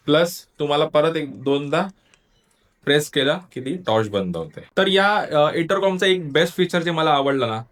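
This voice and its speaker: Male gear vlogger, slightly high voice, casual tutorial delivery that walks viewers through each step. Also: fairly bright tone. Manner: explanatory, step-by-step, addresses viewers directly. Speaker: motovlogger reviewing gear. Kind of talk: casual product review and tutorial.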